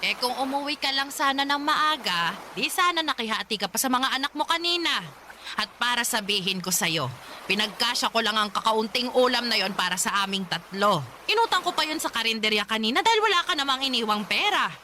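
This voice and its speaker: A stern old grandmother in a radio drama, scolding in a bright, forceful voice. She is reproachful and firm, with faint noise behind her. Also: on the high side, expressive, fairly quick. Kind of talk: Tagalog radio drama acting.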